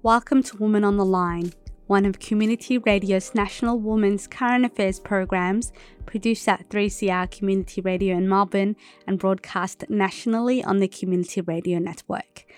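A woman hosting a community radio current affairs show, presenting a scripted introduction and asking clear questions. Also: mid-range voice, fairly even intonation, normal speed, soft background music. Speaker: radio host.

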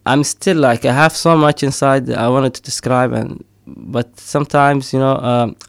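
Man, middle-aged, gravelly, dry close sound, hesitant with fillers. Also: mid-range voice, steady tone, moderate pace, dry recording. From Somaliland. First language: Somali. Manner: hesitant, many fillers, frequent laughs. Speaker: poet and storyteller. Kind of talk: casual interview talk.

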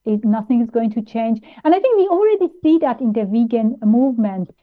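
A woman from Iran, raised in Austria, speaking as an interview guest in a halting way with many fillers and restarts. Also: on the high side, lively intonation. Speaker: guest, plant-based nutritionist. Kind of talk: interview conversation. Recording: tinny phone-like line.

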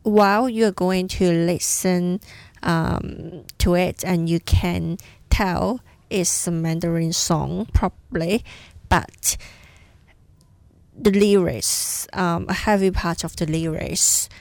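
A woman whose first language is Cantonese presents a music radio show, speaking slowly and haltingly with plenty of pauses and fillers. Her voice is smooth and resonant with a gravelly edge. The sound is dry and close. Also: on the low side, steady tone, a little mellow, no room sound. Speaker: radio host.

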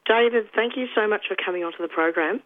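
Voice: mid-range voice, fast talker, no room sound, narrow, tinny audio. A radio host running an interview, speaking casually with loose phrasing and many fillers.

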